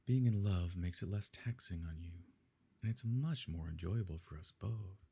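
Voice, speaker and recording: Low, gravelly evil nobleman, acted by a man. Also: heavily acted. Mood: smoothly coercive. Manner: measured, formal, quietly coercive. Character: smooth, possessive evil nobleman courting a grieving beloved. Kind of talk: ASMR romance roleplay, scripted dramatic monologue.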